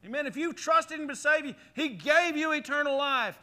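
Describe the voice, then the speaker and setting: A middle-aged male pastor preaching a sermon in a church, leading the congregation in call-and-response with animated, forceful delivery. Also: extremely high pitch, moderate pace. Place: church sanctuary. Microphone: handheld or headset mic.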